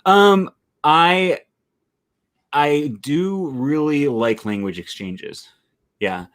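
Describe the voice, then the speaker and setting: A man hosting a casual interview over a video call, relaxed and hesitant with frequent ums. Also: on the high side, extremely slow. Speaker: interviewer host.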